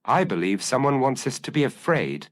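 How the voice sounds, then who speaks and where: A man's voice: medium pitch, very animated, normal speed, voice pushed up, close to an everyday voice. A man voicing an earnest, anxious village constable who speaks formally and uneasily in an audio mystery. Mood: anxious, grave.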